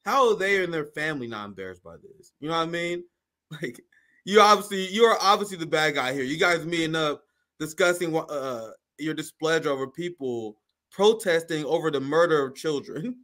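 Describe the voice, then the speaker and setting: A man's voice: a little high, fairly quick. An American man in his thirties co-hosting a casual, profane political show, with an incredulous, slangy manner. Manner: incredulous, animated, slangy ranting. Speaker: co-host.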